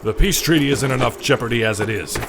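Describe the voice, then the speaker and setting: An actor playing a stern, wounded military captain in a sci-fi audio drama. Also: male voice, medium pitch, quick pace, creaky, background noise, natural voice. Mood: stern, weary. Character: stern, wounded male captain reprimanding a subordinate.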